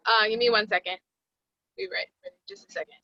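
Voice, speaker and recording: Woman narrator, bright forceful voice, fairly high, scripted screenplay reading, dry dull lo-fi recording. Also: sing-song, a little fast, dry recording. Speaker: narrator reading stage directions.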